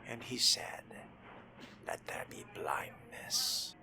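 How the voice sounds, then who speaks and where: A man voicing a haughty, scheming fledgling vampire in a video game roleplay, breathy and near-whispered, musing to himself in pompous, disdainful language. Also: takes it slowly, music in the background, natural voice. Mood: dry, contemptuous. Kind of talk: in-character roleplay monologue over a video game.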